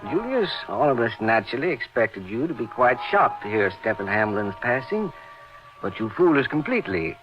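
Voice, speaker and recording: A man's voice: medium pitch, sing-song, a little fast, music in the background, close to an everyday voice. A man playing a concerned, deferential doctor and loyal colleague, earnest and polite, in old-time radio acting. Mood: puzzled probing. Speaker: actor in a horror radio drama. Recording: muffled old radio drama recording.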